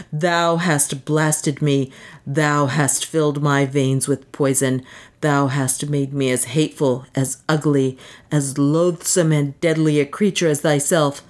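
A woman's voice: rumbling low, heavily acted. A woman reading a story, voicing a bitter, enraged young man who denounces his lover in archaic, venomous speech. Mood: furious. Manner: venomous, drawn-out archaic denunciation. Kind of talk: dramatic audiobook storytelling.

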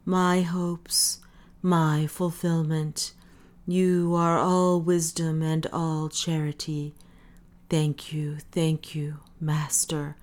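Woman acting a devout anguished man, very slow, low-pitched, quavering, fervent, audiobook drama. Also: flat delivery, a little mellow. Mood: rapturous devotion. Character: anguished male zealot in rapturous religious confession. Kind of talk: dramatic audiobook character reading.

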